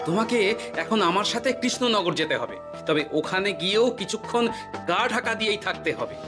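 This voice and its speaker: A woman's voice: medium pitch, a little fast, lightly acted. A woman voicing a put-upon, canny older father-in-law in a cartoon, grumbling and indignant, with music behind. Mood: canny, guarded. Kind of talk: cartoon voice acting.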